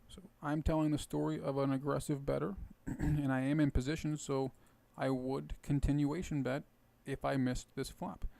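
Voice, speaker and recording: A man with a rough, hoarse voice casually explaining his poker plays while thinking aloud. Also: medium pitch, mellow, dry recording. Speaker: poker video host. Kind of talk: casual poker commentary over gameplay.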